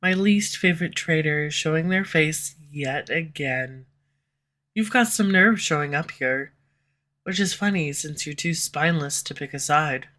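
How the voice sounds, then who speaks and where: Woman doing a snarky villain, bright edgy voice, sarcastic teasing all the way through. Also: deep voice, unhurried. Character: snarky sharp-tongued villain, slightly tsundere. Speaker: audio roleplay voice actor. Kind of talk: scripted audio roleplay. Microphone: USB condenser mic.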